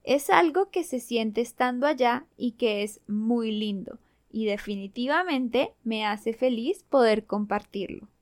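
A young adult woman from Bogotá with a Colombian accent, hosting a language-learning podcast in a clear, measured, cheerful storytelling manner. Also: on the high side, animated. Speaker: podcast host. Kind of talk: scripted Spanish-learning podcast narration.

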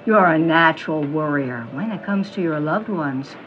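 A woman's voice: sits low, lively intonation, moderate pace, lo-fi sound, slight background noise. A woman playing a mysterious fortune teller in an audio drama, theatrical and knowing, with honeyed pet names. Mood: soothing, knowing.

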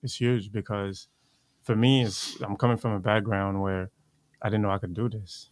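A man's voice: fairly low pitch, little pitch movement, moderate pace, no echo. A young man from Haiti answering interview questions casually, hesitant and repeating words as he thinks. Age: young adult. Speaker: guest, aspiring model.

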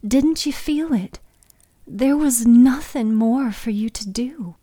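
A woman voicing a sad older mother in an audio drama, gentle, knowing and resigned. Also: fairly high pitch, slow, no echo.

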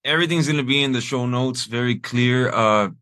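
A man hosting a podcast, who thanks his guest in a relaxed, friendly way and uses loose fillers. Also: medium pitch, brisk, dry recording, studio quality. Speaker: podcast host. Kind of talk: casual interview chat.

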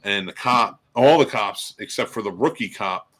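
A man's voice: lowish voice, moderate pace, fairly bright tone. A middle-aged man chatting casually about films on a podcast, ranting in exasperation and swearing freely. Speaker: film podcast panelist. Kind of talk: casual profane film chat.

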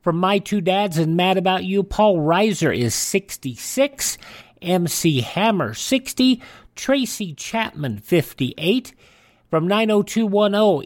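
Male radio host, slightly high-pitched, informal list-reading delivery, dry recording. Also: dry recording. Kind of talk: informal radio rundown of on-this-day history and birthdays.

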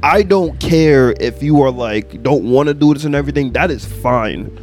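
A young man, a podcast co-host telling loud, animated stories full of slang. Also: medium pitch, moderate pace, faint music behind. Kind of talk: casual chat.

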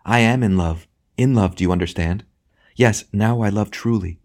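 A man voicing a joyful, loving lady spirit in an audiobook, gentle and earnest with a teasing touch. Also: fairly low pitch, moderate pace, pitched above the performer's own voice, natural voice. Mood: tender. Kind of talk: audiobook character acting.

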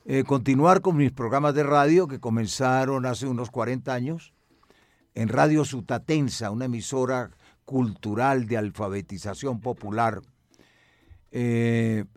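An older man with a Colombian accent gives reflective interview answers. He speaks in a measured, articulate way with formal wording, at a middling pitch. The sound is dry, with hardly any room in it. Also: darkish tone, no room sound. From Medellín, Colombia. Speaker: cultural radio broadcaster and music researcher.

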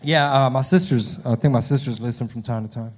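A man's voice: medium pitch, a little fast, a little mellow. A man from Texas with a Texan accent, hosting a casual podcast chat in slangy, familiar language. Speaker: podcast host, comedian.

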